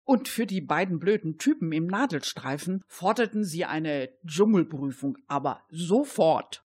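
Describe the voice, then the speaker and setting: A woman's voice: fairly low pitch, expressive, a little slow, a little mellow, husky. Gossipy female amateur detective played by a woman. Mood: gleeful. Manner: colloquial, gossipy, with folksy tag questions. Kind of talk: amateur radio crime play.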